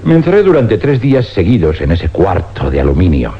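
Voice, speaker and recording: Deep, grave, weary older scientist. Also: male voice, wildly swooping pitch, slightly rough, exaggerated voice. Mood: grave, haunted. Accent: Spanish, from Spain. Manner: grave, deliberate, dramatic pauses. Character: weary older scientist confessing an uncanny experiment. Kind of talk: suspense radio drama acting. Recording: dull, noisy old radio recording with hum.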